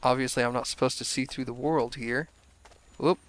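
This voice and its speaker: A young man commenting casually on a video game he is playing, his voice a little hoarse from a cold, rambling with self-deprecating asides. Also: medium pitch, fairly even intonation, moderate pace. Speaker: let's play gamer.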